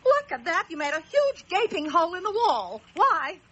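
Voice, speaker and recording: An actress playing a shrill, demanding, self-important woman in a radio drama, indignant and emphatic. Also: big pitch swings, normal speed, exaggerated voice. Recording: muffled audio, loud background noise.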